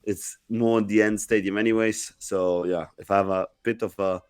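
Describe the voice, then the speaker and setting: A man who is sick and sounds deeper than usual, telling a rambling story on a casual podcast with many restarts. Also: steady tone, normal speed, smooth, dry recording. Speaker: podcast co-host.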